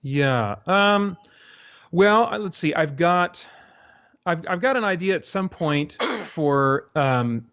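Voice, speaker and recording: A North American man talking casually as an interview guest, haltingly thinking aloud with false starts. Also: fairly high pitch, lively intonation, a bit bright, smooth. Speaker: interview guest, radio drama creator. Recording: dull, lo-fi audio.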